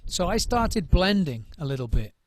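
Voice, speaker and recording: A middle-aged man talks over his work as a drum trainer in a thoughtful interview, his voice sitting a little high. He circles back and repeats words while he finds his thought. The sound is close and dry, with no room around it. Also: big pitch swings, moderate pace, no room sound. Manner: searching for words, many restarts and repeated words. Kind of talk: reflective interview answers.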